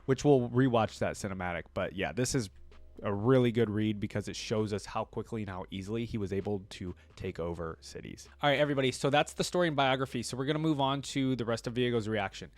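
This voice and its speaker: A man with a fairly low voice reads lore aloud as host of a reaction video. He shifts between narration and casual commentary. The sound is dry, with hardly any room in it. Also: quick pace, dry recording.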